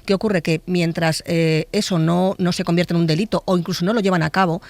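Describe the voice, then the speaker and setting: Fast-talking Spanish forensic doctor, woman. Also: lowish voice, fairly even intonation, a little mellow, no echo. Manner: explanatory, with fillers. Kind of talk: interview answers.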